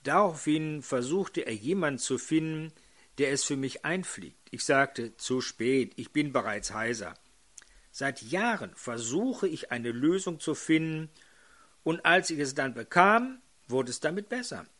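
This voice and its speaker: Older man, hoarse, preacher, slow delivery, slightly high pitch, questions to congregation. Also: expressive, fairly bright tone. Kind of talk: sermon.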